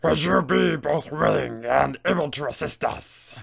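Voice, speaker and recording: Alien gnome creature, very creaky, slow delivery, ominous, courtly wording, roleplaying game monologue. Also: male voice, fairly high pitch, fairly bright tone, heavily acted. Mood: formal, eerie. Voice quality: gravelly, creaky. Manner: slow, stilted, formal speech. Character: cold alien gnome mind flayer, formal and ominous.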